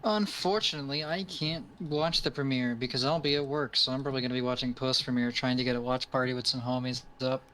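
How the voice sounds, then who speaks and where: A man's voice: medium pitch, steady tone, fast talker, few pauses, fairly bright tone, a little hiss or hum, poor audio. A man chatting casually with friends on a stream, with some music and keyboard typing behind him. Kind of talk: casual chat.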